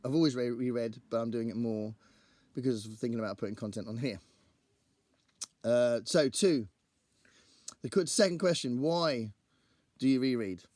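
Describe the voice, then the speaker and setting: Male book vlogger, croaky and strained, medium pitch, dry close sound, chatty and digressive. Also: normal speed, darkish tone, no room sound. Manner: chatty, rambling, thinks aloud. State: croaky voice after a singing gig. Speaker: book channel host. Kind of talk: casual to-camera book chat.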